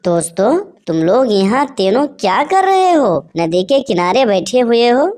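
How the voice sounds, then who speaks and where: A woman's voice: on the high side, sing-song, unhurried, a little mellow, a little hiss or hum. A woman playing a friendly, helpful female butterfly in a children's cartoon. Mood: cheerful, curious. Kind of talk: animated children's story voice acting.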